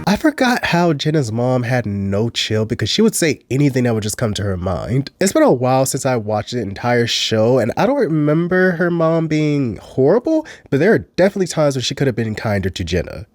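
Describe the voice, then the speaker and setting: A man's voice: mid-range voice, big pitch swings, brisk, few pauses, a little mellow, dry recording, soft background music. A man hosting a chatty, sassy show recap, his voice a little off while getting over a cold. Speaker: commentary channel host. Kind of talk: casual recap commentary.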